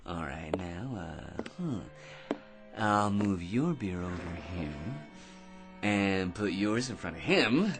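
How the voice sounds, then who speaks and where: An actor in an old-time radio drama playing a boastful, excitable barfly who chatters in wheedling slang. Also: male voice, sits low, slow pace, music in the background, exaggerated voice. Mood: cocky showing off. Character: boastful, excitable, talkative male barfly with a magic gizmo.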